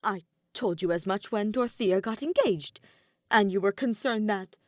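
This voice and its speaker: A woman's voice: medium pitch, normal speed, darkish tone, dry recording, clean studio sound, lightly acted. A woman voicing an earnest, spirited young nobleman in love, speaking in an emphatic, formal, teasing way for a story. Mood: defensive.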